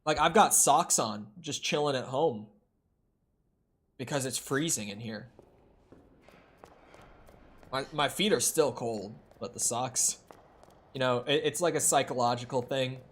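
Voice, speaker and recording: A man's voice: mid-range voice, a little slow, pauses often, breathy edge. A young man streaming a horror game from home, chatting in a rambling, self-deprecating way with lots of restarts. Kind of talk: casual chat while playing a horror game. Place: home room. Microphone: streaming desk mic.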